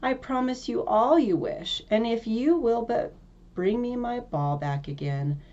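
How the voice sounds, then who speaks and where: A woman's voice: a little high, animated, a little slow, a little mellow, lo-fi sound. A woman reading a fairy tale as a spoiled young princess, disdainful and easily frightened. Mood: eager promising.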